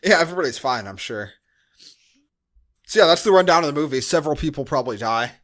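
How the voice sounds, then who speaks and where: A man's voice: on the high side, lively intonation, fast talker, a bit bright. A man hosting a podcast, recapping a movie in casual chat with dry, sarcastic asides. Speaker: podcast host.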